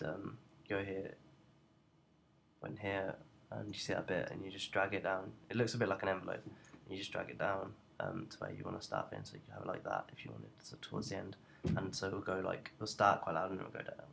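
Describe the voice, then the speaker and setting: A young man giving an informal software tutorial in a hesitant, halting way. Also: low voice, animated, creaky, slight background noise. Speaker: student tutorial presenter.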